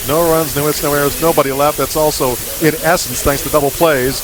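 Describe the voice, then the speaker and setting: A man's voice: on the high side, steady tone, brisk, a crowd in the background, poor audio. A man calling live baseball play-by-play on the radio in clipped phrases that follow the action. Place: live baseball game broadcast. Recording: hissy, static-laden radio broadcast recording.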